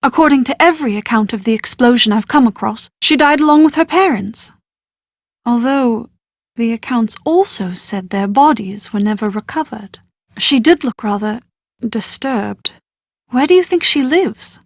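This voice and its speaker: A dry, close recording of a young bride-to-be in a video game, her voice on the high side. She is articulate and proper, earnest and a little bossy, with an anxious, skeptical edge. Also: lively intonation, moderate pace, dry recording. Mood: skeptical, concerned.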